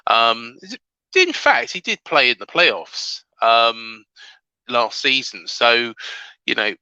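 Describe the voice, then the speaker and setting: A man with a slightly croaky voice hosts a football fan show, talking casually and hesitantly as he thinks aloud. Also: medium pitch, very animated, slow, bright, forceful tone, dry recording, highs cut off. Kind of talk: casual transfer-rumour commentary to camera.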